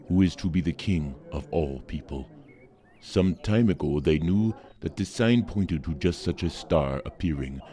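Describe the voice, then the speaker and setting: A man's voice: a bass voice, dark tone. A man playing an earnest, devout attendant of the Magi who stammers a little and speaks courteously. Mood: explaining. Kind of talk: audio drama acting.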